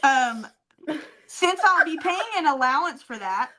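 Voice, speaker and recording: High, bright woman joking on a podcast. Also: lively intonation, unhurried, low-quality recording. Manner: wry mock reading of messages, swears casually; laughs often. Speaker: podcast co-host. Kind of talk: casual comedic podcast chat.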